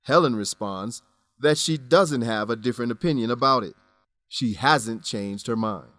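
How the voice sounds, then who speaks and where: A man presenting an English lesson in deliberate, scripted educational narration. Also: medium pitch, a bit bright, no room sound. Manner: deliberate, instructional.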